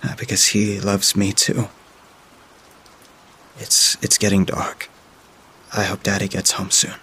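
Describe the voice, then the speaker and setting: A man narrating as a frightened young child keeping a diary, speaking haltingly with frequent filler sounds. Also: lowish voice, monotone, bright, forceful tone, a little hiss or hum. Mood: hushed, halting. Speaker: storyteller. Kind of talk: first-person diary reading.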